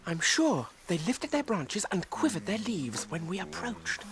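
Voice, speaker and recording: An actor playing a polite, loyal young halfling traveller in a radio drama, earnest and inquiring. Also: male voice, high-pitched, very animated, unhurried, creaky, crowd noise, heavily acted. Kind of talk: British radio drama acting.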